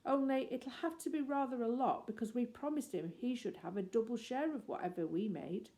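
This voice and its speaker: Woman as a high-voiced, earnest young girl. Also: fairly quick, dark tone, lightly acted. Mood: persuading.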